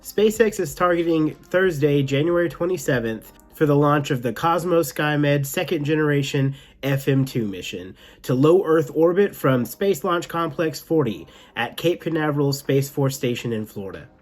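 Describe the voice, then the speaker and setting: A man's voice: on the high side, expressive, normal speed, hardly any pauses. Man presenting tech news over music. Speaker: host of a tech news show. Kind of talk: news commentary, part scripted, part casual.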